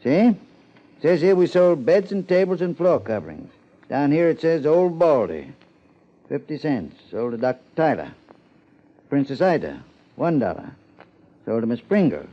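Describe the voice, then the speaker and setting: An actor playing a grumbling, folksy old small-town clerk in a 1950s radio drama. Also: male voice, on the high side, very animated, a little slow, long pauses. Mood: folksy, deliberate. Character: crotchety, penniless old small-town former record keeper, male. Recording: muffled 1950s radio broadcast.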